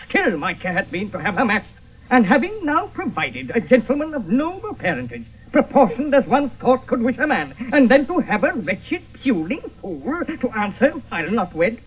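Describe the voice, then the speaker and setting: High-pitched, raging noble father. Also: exaggerated voice. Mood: exasperated rage. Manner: blustering, scornful, declamatory. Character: domineering, hot-tempered noble father, raging tyrant. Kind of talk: classical Shakespearean radio drama acting. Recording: noisy, tinny old radio broadcast.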